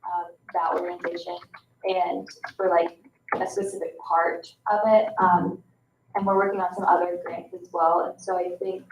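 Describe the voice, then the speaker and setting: A woman's voice: on the low side, flat delivery, moderate pace, gravelly, distant mic, lo-fi sound. An American woman giving an informal update at a public meeting. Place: indoor public meeting room. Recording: echoey room, faint background noise.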